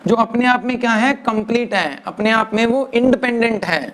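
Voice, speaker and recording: A male physics teacher giving a lecture, mixing English into Hindi, dictating definitions slowly word by word and often checking with "right na". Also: extremely high pitch, fairly bright tone. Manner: mixes English into Hindi, keeps asking "right na". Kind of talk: classroom lecture.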